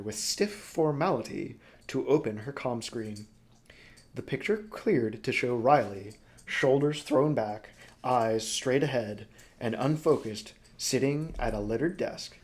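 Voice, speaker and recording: Male storybook narrator, mid-range voice, expressive, deliberate, dramatic phrasing. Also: medium pitch. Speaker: audiobook reader.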